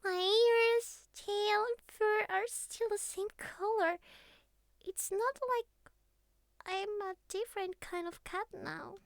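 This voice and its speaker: A naive young catgirl speaks in a tiny, extremely high voice. She talks slowly and hesitantly, with childlike, pleading earnestness. It is a clingy, intimate ASMR roleplay, recorded very dry and close. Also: no echo, exaggerated voice. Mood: hurt, confused. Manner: childlike, hesitant, pleading, slightly formal phrasing.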